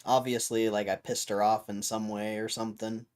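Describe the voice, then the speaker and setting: A hoarse young man hosting a livestream in a loose, rambling way, talking to his chat and often clearing his throat. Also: lowish voice, level tone, moderate pace. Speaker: livestream host.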